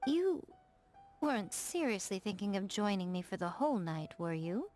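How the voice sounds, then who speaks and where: A woman playing a sly, elegant fox spirit shrine maiden in a video game, coy and self-assured, in measured teasing phrases. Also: medium pitch, sing-song, a little mellow, close to an everyday voice. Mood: coy, gentle. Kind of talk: English video game character voice acting. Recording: music behind the voice. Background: background music.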